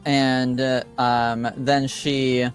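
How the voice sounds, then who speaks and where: A man acting as game master, casually narrating and explaining rules in a halting, deliberating way. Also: medium pitch, little pitch movement, faint music behind.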